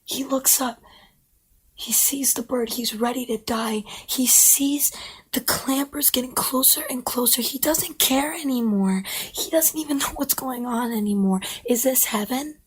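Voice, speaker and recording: Woman storyteller, breathy whisper, slow and unhurried. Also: high voice, rough recording.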